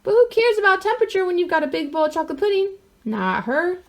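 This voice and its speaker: A woman's voice: very high-pitched, big pitch swings, fast talker. A woman storyteller reading a children's picture book aloud expressively, with wry, mock-innocent asides.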